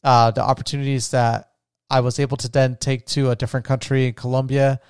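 A man's voice: medium pitch, flat delivery, a little fast, a little mellow, clean tone, no room sound, clean studio sound. Man talking casually on a solo podcast. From United States. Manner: rambling, thinking aloud with many restarts. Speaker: podcast host.

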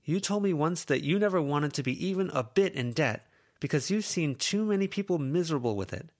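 A man's voice: a little high, animated, fairly quick, a little mellow, pitched above the performer's own voice. A man voicing an exasperated teenage girl who scolds her friends with tense, pleading frustration. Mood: frustrated scolding.

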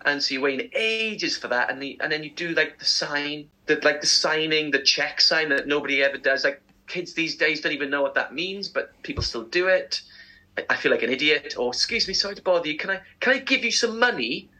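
A man's voice: on the high side, animated, brisk, bright, forceful tone, low-quality recording. A man co-hosting a chat show, telling rambling, humorous anecdotes in a casual style. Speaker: co-host presenting segments.

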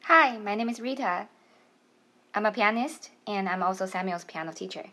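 A woman's voice: medium pitch, normal speed, sharp tone. A woman from Taiwan, a musician answering interview questions conversationally. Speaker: interview guest, musician and piano teacher.

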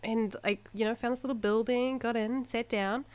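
A woman's voice: on the high side, steady tone, normal speed, clear, resonant tone, no echo. A young woman from New Zealand with a New Zealand accent, co-hosting a podcast in casual, chatty talk and laughing easily. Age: young adult. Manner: chatty, laughs often, self-mocking. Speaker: podcast co-host. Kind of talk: casual chat.

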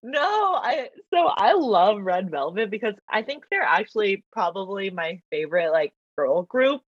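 A woman whose first language is Mandarin, chatting as a podcast guest, talkative and quick to laugh, with lots of fillers. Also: medium pitch, very animated, slightly rough, dry recording. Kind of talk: casual interview chat. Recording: dull lo-fi dry recording.

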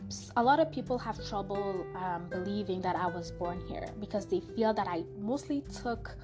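A woman's voice: mid-range voice, lively intonation, moderate pace, music in the background. A young woman from Montreal with a French accent, chatting through a personal story on her podcast with frequent fillers and restarts. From Canada (Montreal). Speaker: podcast host.

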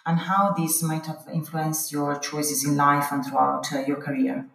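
A woman's voice: low-pitched, flat delivery, normal speed, creaky, fairly bright tone. A woman hosting an interview and asking friendly, admiring questions. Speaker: interview host. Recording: roomy echo.

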